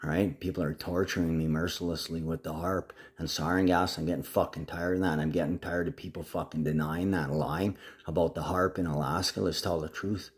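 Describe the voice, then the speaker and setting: A man's voice: low voice, steady tone, brisk, few pauses. A man talking casually to the camera in a rambling, agitated monologue full of swearing.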